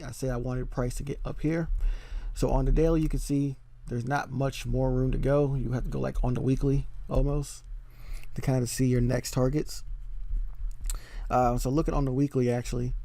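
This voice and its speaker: A man walks through trading charts in a casual, filler-heavy voice-over, checking in with "okay?" as he goes. His voice is warm and dark, and he keeps it level with little rise and fall. The recording is dry and close. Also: mid-range voice, no echo. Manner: relaxed, filler-heavy explaining with frequent "um" and "okay?". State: slightly losing his voice. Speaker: forex trading educator. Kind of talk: casual screen-share chart walkthrough.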